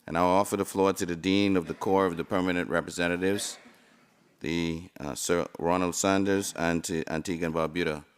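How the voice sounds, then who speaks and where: Deep-voiced Bahamian chairman, flat and smooth. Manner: polite, unhurried. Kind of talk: chairing a formal session.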